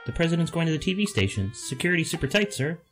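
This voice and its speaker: An amateur actor voicing an excitable resistance informant in a game drama, clipped and deferential. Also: medium pitch, rapid-fire, soft background music, close to an everyday voice. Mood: urgent, excited. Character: excitable resistance informant reporting urgent news. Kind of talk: fan-dub video game voice acting.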